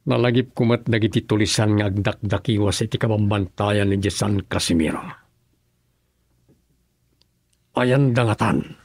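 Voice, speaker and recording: A man's voice: lowish voice, normal speed, a little mellow, dry recording. A man acting as a serious governor in an Ilocano radio drama. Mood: pondering.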